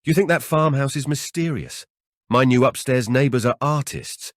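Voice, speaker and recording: A man's voice: medium pitch, moderate pace, no room sound, clean studio sound, pitched above the performer's own voice, close to an everyday voice. A man voicing an exasperated, cautioning older father-in-law in audiobook dialogue.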